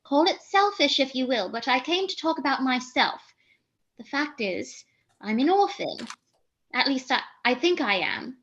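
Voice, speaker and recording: A woman's voice: high voice, expressive, normal speed, fairly bright tone, muffled recording. An actress playing a flighty, self-important young lady in a theatrical comedy, arch and declamatory. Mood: arch, confiding. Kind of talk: theatrical radio comedy monologue and dialogue.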